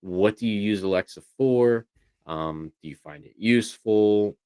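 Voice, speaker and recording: Low, smooth-voiced man chatting casually. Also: a little slow, mellow, no room sound. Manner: rambling, hedging with many "you know"s. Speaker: co-host of a voice tech show. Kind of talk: casual chat on a livestream.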